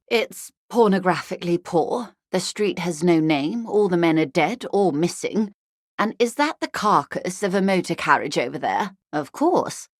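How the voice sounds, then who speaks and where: A refined middle-aged woman of the corporate upper class. Her voice sits fairly low and sounds close and dry. She is composed and diplomatic, choosing formal words with a faint irony. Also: no echo. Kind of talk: video game character dialogue.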